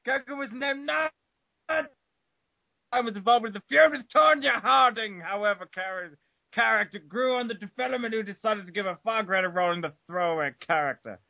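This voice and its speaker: Man reading in very high, over-dramatized voice. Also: creaky, dry recording, dull audio. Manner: fast, loud, over-the-top, stumbling over words. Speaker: reader of a comic dramatic reading.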